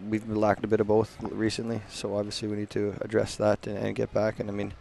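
Flat, gravelly-voiced man coach. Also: lowish voice, slight background noise. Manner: flat, gravelly, halting with many restarts. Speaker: hockey coach. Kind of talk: postgame interview. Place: indoor ice rink. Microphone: headset mic.

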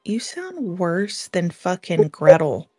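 A young woman in her twenties throws sarcastic, mocking jabs into a phone. Her voice sits on the low side, and the sound is close and dry with no room around it. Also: sing-song, slow, a little mellow, breathy edge, dry recording. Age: 20s. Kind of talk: heated insult exchange in a live audio chat room.

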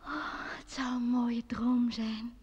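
An actress playing a dreamy young village woman in love, speaking with soft wistful wonder in an old Dutch radio drama. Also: a little high, fairly even intonation, slow, slightly rough, highs cut off, full character voice. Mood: tender, hushed.

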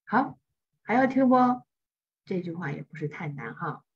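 A woman's voice: on the low side, big pitch swings, moderate pace, husky, lo-fi sound. A woman teaching English in an online class, explaining patiently and step by step.

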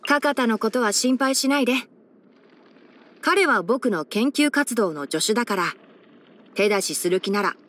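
A woman's voice: a little high, a bit bright. A woman voicing a young male fox-eared ranger in a video game, scholarly and sensible, speaking in a composed, articulate, mildly wry way. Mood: wry, matter-of-fact. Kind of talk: scripted video game character voice-over.

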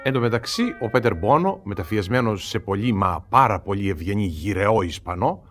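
A man's voice: lowish voice, animated, music in the background, natural voice. A man narrating a radio play from a script in a playfully emphatic way.

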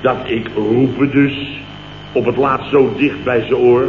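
An actor playing a grumbling, indignant boss with a Dutch accent, blustering sarcastically in 1970s radio comedy style. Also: male voice, mid-range voice, level tone, unhurried, natural voice. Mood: exasperated. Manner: blustering, exclamatory, sarcastic storytelling. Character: grumbling, indignant male boss recounting his mishaps. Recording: noisy, dull, roomy old radio recording.

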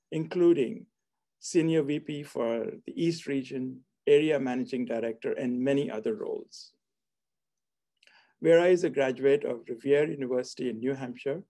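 A man's voice: medium pitch, warm tone. A middle-aged man hosting a formal online event from an office, introducing and interviewing a guest over a video call. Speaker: host, hospitality school dean. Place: book-lined office indoors. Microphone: video call, seated at computer.

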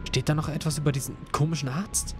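A man's voice: a little high, fairly even intonation, fast, darkish tone, lightly acted. A young man playing a curious student who takes charge, in an audio drama. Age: young adult. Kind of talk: amateur audio drama acting. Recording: music behind.

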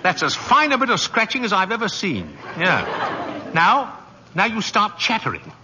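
A man's voice: high-pitched, wildly swooping pitch, unhurried, exaggerated voice. An actor playing a kindly, playful old man who claims to be Santa, with an avuncular, exclamatory manner, in 1940s radio drama style. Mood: gleeful, coaching. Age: older. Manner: avuncular, exclamatory, with frequent "eh" and "see". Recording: muffled, noisy 1940s radio recording, reverberant.

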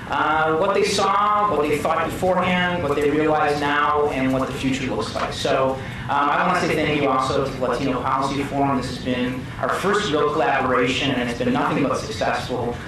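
A man in his thirties moderating a panel discussion, upbeat and friendly, at a conference room table mic. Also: mid-range voice. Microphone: table mic on stand. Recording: echoey room, mic at a distance, noisy.

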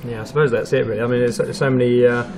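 A man hosting a radio property show, chatting casually with guests and often pausing on fillers and restarts. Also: medium pitch, fairly even intonation, crowd noise. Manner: hesitant, many fillers and restarts.

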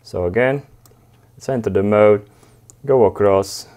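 Man, lowish voice, hesitant hands-on explainer recorded in a workshop. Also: dark tone. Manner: halting, many fillers and restarts, plain explanatory tone. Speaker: technician demonstrating a drive. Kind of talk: hands-on tutorial. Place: workshop with wooden wall panel, indoors.

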